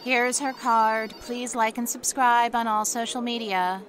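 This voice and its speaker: A woman's voice: fairly high pitch, fairly even intonation, a little slow, fairly bright tone, soft background music. A woman playing a queen's formal, dutiful assistant and herald in an audio comedy, ceremonious and polite.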